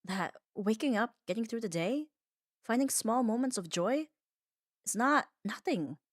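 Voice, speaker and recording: A woman giving a calm, reassuring ASMR comfort monologue in an unhurried, soothing way. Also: fairly high pitch, no echo, studio quality. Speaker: ASMR audio creator.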